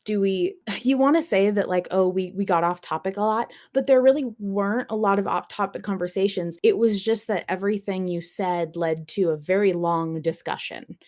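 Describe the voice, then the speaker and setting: A woman's voice: mid-range voice, normal speed, darkish tone. A woman hosting a podcast in casual, chatty conversation, often hedging as she goes. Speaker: podcast host.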